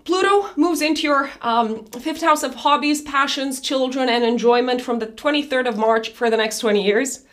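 A woman with a raspy, hoarse voice that sits on the higher side talks through a monthly horoscope forecast. She is chatty and affectionate with her viewers, stumbling over words and filling pauses with ums and likes as she goes. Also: a bit bright. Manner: chatty, addresses viewers fondly, many fillers and restarts. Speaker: astrologer.

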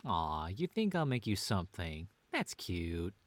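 A man's voice: deep voice, wildly swooping pitch, measured pace, a little hiss or hum, heavily acted. A man playing a playfully bickering, doting stay-at-home dad in an audio drama, wry but earnest. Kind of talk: two-hander audio drama reading.

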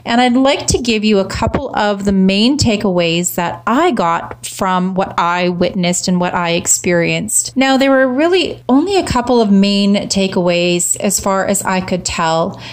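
A woman hosting a social media marketing show, talking casually and emphatically, her voice slightly rough from cheering. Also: medium pitch, expressive, a little slow. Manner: upbeat, emphatic repetition. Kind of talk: casual solo talk to camera.

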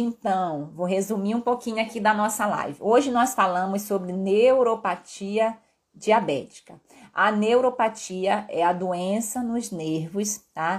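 A woman doctor with a somewhat low voice and a Pará accent, mixed with Northeastern tones. She explains health questions casually on a live stream. She talks in a friendly, patient way and ends her points with "tá?" or "né?". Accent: Brazilian Portuguese from Pará, mixed with Northeastern. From Pará, Brazil. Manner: friendly and explanatory, peppered with "tá?" and "né?" tags. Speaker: endocrinologist doctor hosting a live Q&A.

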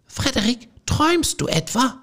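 Ein Mann, der eine Schar kleiner Feldmäuse spricht, quietschig und drängend. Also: male voice, expressive, a little slow, gravelly, exaggerated voice. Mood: eager. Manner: squeaky and pressing. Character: chorus of eager little field mice.

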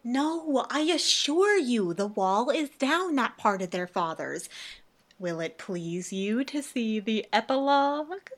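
A woman playing a gracious, wry and authoritative noble ruler, measured and declamatory in a Shakespearean radio play. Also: a little high, expressive. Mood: wry, dismissive.

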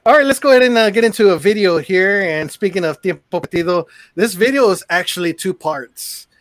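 A man's voice: high-pitched, a little fast, a bit bright, dry recording, clean studio sound. A man hosting a podcast, upbeat and conversational as he introduces a music video. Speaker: show host.

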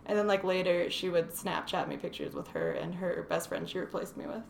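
A young woman chatting on a casual podcast, with a creaky, gravelly edge to her voice. Her talk is sarcastic and playful, full of jokes. A faint hiss sits under the recording. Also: fairly low pitch, wildly swooping pitch, brisk. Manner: sarcastic, joking, laughs often. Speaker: podcast co-host. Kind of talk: casual chat. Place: small room, mic on table. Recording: faint background noise.

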